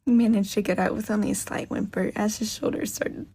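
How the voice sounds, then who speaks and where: A woman reading scripted fiction as an expressive audiobook-style narrator. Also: mid-range voice, brisk, slight background noise. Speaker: podfic narrator.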